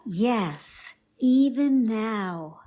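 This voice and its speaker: A practical woman friend in a radio drama. Her high voice is lively in pitch, reassuring and gently teasing, with an unhurried delivery. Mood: concerned, reassuring. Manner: matter-of-fact, gently teasing. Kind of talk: radio play acting.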